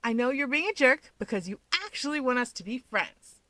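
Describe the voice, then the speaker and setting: Lady doing a young hero guy, teasing n stubborn, pitched up, audiobook story, dry sound. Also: sing-song, a little fast, sharp tone, dry recording, full character voice. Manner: insistent, teasing. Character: playful, stubborn young winged male hero, easily riled. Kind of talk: fan fiction audiobook dialogue.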